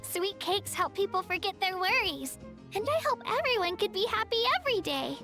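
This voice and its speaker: A woman's voice: extremely high pitch, animated, moderate pace, sharp tone, heavily acted. A woman voicing a kind, earnest young girl in a video game, with a sweet childlike manner. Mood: earnest, hopeful. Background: music.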